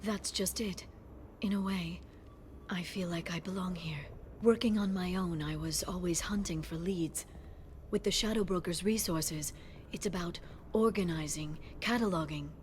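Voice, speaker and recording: A woman playing a gentle, scholarly alien information broker in a video game, speaking softly and earnestly. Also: fairly low pitch, moderate pace, slight background noise, natural voice. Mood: earnest, absorbed.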